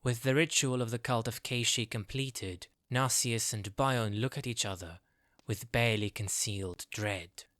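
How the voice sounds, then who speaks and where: A man's voice: mid-range voice, moderate pace, a bit bright, no echo. A man narrating a scripted fantasy audio drama in a storytelling style.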